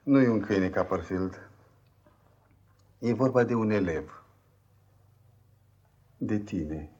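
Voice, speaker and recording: An actor playing a resigned, dry schoolmaster in a 1950s radio play, speaking in a measured, matter-of-fact way. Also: male voice, fairly low pitch, expressive, darkish tone, lo-fi sound, natural voice. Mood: puzzled, dry. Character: resigned, dry male schoolmaster showing a new pupil around. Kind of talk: 1950s Romanian radio theatre acting.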